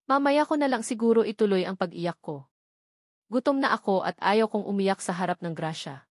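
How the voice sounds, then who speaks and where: A woman's voice: medium pitch, animated, normal speed, no room sound, studio quality, close to an everyday voice. Casting: woman narrator playing a bashful young Filipina, courteous and easily flustered, for romance audiobook. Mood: sheepish. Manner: bashful, polite.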